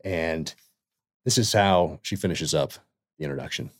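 A man hosting a podcast, reading an earnest introduction and then asking halting questions full of fillers. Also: sits low, dry recording, clean studio sound. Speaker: podcast host.

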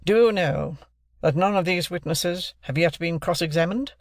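Man voicing a bullying, high-pitched gentleman cross-examiner. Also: moderate pace, dry recording, studio quality, lightly acted. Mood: hectoring. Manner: hectoring, interrogative, authoritative. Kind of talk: classic novel narration, character voice.

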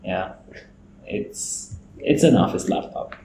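A man's voice: slow pace, echoey room, slight background noise, low-quality recording. A young man, a student raised in Saudi Arabia, co-hosting a casual podcast and often laughing. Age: young adult. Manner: laughs often, relaxed banter. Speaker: podcast co-host. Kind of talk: casual chat.

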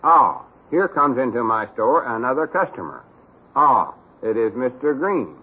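A man's voice: mid-range voice, big pitch swings, unhurried, breathy edge. An actor playing a bossy, self-important country man with a Southern US accent, officious and exasperated, in an old-time radio comedy. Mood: stiff, pompous. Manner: exasperated, officious, stiffly pompous when reading. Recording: muffled, worn old radio recording.

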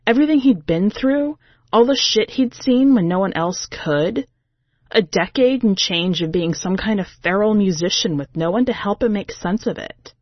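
A woman's voice: mid-range voice, expressive, normal speed, no room sound, highs cut off. A woman reading a first-person story as a podcast host, in a colloquial, streetwise way.

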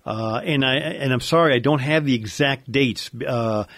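A man's voice: mid-range voice, moderate pace, a bit bright, no room sound. A man with an American accent explaining technical matters informally, like a knowledgeable regular guest on a radio-themed podcast.

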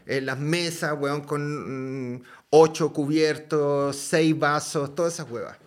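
A man from Chile with a Chilean accent, a podcast guest telling a story in a casual, lively way, full of slang and swearing. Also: fairly high pitch, slow pace.

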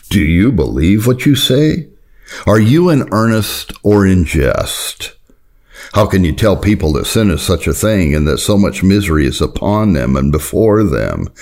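A man's voice: deep voice, a little mellow. A man reading an old Puritan sermon aloud in a solemn, earnest, exhorting manner. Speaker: reader of historical Puritan sermons.

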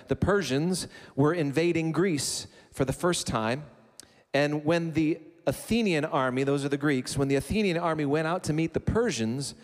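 Guy pastor giving a sermon, kinda higher voice, chatty with jokes, big echoey church hall. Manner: conversational preaching with asides and humor. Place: church sanctuary. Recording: long reverb of a church hall.

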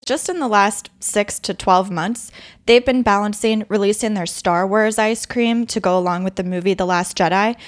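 A woman hosting a podcast, narrating from a script and interviewing guests. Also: medium pitch.

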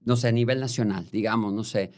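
A man's voice: fairly low pitch, steady tone, fast, a little mellow. A man hosting a podcast, asking questions and often chiming in with short agreeing replies. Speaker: podcast host. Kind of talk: casual interview.

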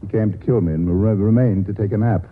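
An actor in a 1940s radio drama playing an urbane, flippant, self-dramatizing writer. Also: male voice, lowish voice, quick pace, lightly acted. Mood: wry, urbane. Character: witty, self-dramatizing cultured male writer in love trouble. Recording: tinny, noisy 1940s radio broadcast.

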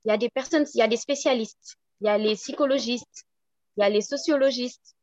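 Senegalese woman speaking french, bit high, slow earnest pleading, flat dull audio. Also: no echo. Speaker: guest, project founder.

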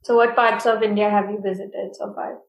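A woman interviewing a guest in a relaxed, easygoing way. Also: mid-range voice, a little fast, echoey room, dull audio. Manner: easygoing, laughs along. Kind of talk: casual interview.

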